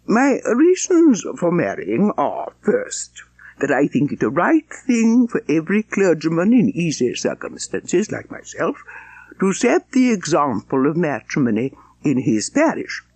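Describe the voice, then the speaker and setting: A man's voice: a little high, huge pitch swings, a little slow, darkish tone, no echo, heavily acted, low-quality recording. A man voicing a pompous, self-satisfied clergyman suitor in an audiobook, speaking with ponderous, obsequious formality. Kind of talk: audiobook character acting.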